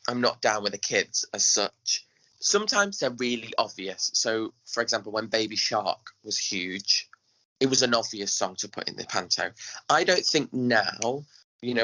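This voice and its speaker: An English man talking conversationally as an interview guest, animated in his storytelling. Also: fairly low pitch. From England. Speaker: guest, pantomime director and choreographer. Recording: dull lo-fi audio.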